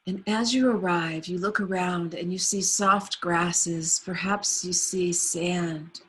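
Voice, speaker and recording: A woman leading a guided meditation on a video call, speaking softly and soothingly. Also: on the low side, fairly even intonation, husky, low-quality recording. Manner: soft, unhurried, soothing.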